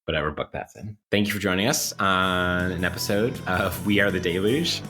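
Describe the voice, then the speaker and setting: A man's voice: deep voice, normal speed, music in the background. A man hosting a casual, intellectual podcast interview, with academic vocabulary and a playfully mock-formal manner. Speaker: podcast host.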